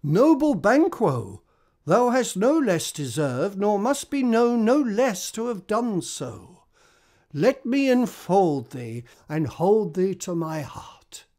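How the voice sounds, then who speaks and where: A woman playing a gracious, emotional king in a Shakespearean radio drama, stately and effusive, with drawn-out phrasing. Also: mid-range voice, big pitch swings, warm tone. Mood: warm gratitude. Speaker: actor in an amateur radio drama.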